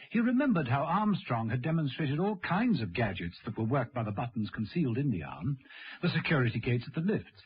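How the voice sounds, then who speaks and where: A man's voice: mid-range voice, slightly rough. A man delivering dramatic scripted narration for a radio drama. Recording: noisy, dull old radio recording.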